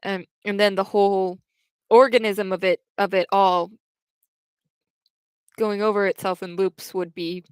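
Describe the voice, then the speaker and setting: Young woman, casual livestream chat, rambling with restarts, smooth clear voice, dry close sound. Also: mid-range voice, flat delivery, unhurried, long pauses, no echo. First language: English.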